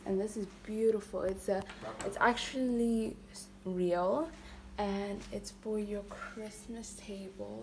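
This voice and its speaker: A woman's voice: mid-range voice, a little mellow, a little hiss or hum, low-quality recording. A young woman vlogger chatting to her viewers in an upbeat, casual way while decorating her living room, filmed on a phone held at arm's length. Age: young adult. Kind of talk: casual vlog narration. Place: living room at home, indoors. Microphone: phone camera held at arm's length.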